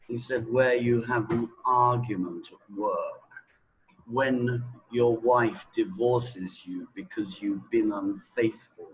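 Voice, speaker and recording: A middle-aged man speaks at a slow pace in a mid-range voice. He talks in an anecdotal way, like a teacher, leaning on emphatic repetition and deliberate pauses. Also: medium pitch, poor audio. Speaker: English teacher being interviewed. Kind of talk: anecdotal spoken talk.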